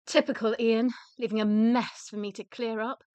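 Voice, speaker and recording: A woman's voice: fairly high pitch, steady tone, normal speed, a little mellow, smooth, no room sound, close to an everyday voice. A woman with a British accent playing a weary, resentful, blunt and sardonic widow in a radio play. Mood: dry, guarded.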